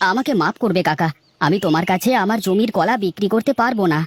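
A woman's voice: mid-range voice, fast talker, husky, close to an everyday voice. A woman voicing a kindly, principled male banana farmer in a village cartoon, speaking earnestly. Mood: firm, polite. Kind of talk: cartoon dialogue acting.

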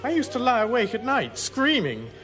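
A man's voice: extremely high pitch, wildly swooping pitch, normal speed, a bit bright, exaggerated voice. An actor playing a bewildered, exasperated English everyman stranded in space, plaintive and incredulous, in a comic science-fiction radio drama. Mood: bewildered, doubtful. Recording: radio play with music behind.

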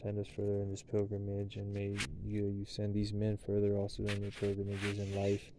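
A man's voice: on the low side, completely flat delivery, fairly quick, noisy background. A man leading Sabbath prayers and blessings outdoors at night, recorded on a handheld camera close by. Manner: prayerful, rising into loud chanted blessings. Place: outdoors at night at a picnic table.

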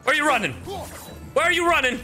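A man chatting casually on a gaming livestream, animated and profane, swinging between loud outbursts and low asides. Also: brisk, music in the background. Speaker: streamer and voice actor. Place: indoor livestream setup.

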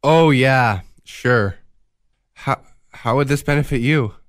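A man's voice: medium pitch, takes it slowly, clean tone, no room sound, studio quality, lightly acted. An actor playing a frightened young murderer in a radio drama, stammering as he argues back. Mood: suspicious. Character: Mark, panicked young male murderer.

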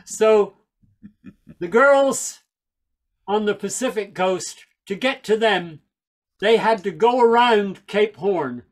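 An older man from Northern Ireland, raised in England, giving a wry, chatty storytelling talk over a video call from a small room at home with a headset mic. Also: high-pitched. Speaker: folk singer and speaker.

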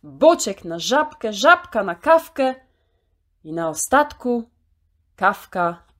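A woman storyteller reciting a children's poem in an expressive, theatrical way, drawing out key words. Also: mid-range voice, takes it slowly.

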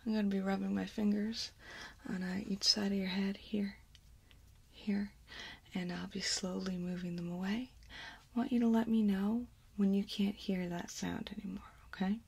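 Breathy, whispering woman in ASMR role play. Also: medium pitch, level tone. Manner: slow, gentle instructions. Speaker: ASMR performer playing an examiner. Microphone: close ASMR microphone.

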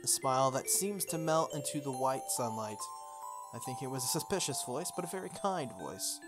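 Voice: mid-range voice, expressive, normal speed. A playthrough host reading visual novel text aloud in a playful way, with casual asides. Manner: playful, interjects informal comments on the script. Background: game music.

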